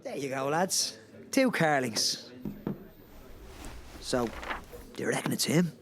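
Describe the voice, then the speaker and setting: A man's voice: on the high side, sing-song, takes it slowly, background noise. A man playing a cheerful, joking pub barman in a comic radio play. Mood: cheerful, teasing.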